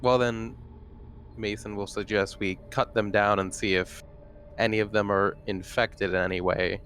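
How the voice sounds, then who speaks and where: A man's voice: lowish voice, little pitch movement, moderate pace, a bit bright, a little hiss or hum. A man playing a tabletop roleplaying game, chatting casually with the other players.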